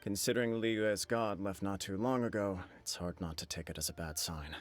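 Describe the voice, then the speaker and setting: Male actor as dutiful clan heir; low, sincere, serious. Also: normal speed, faint music behind, natural voice. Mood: grave. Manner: earnest, heavy with duty. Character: young male noble clan heir, earnest and self-reproaching. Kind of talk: game cutscene dialogue.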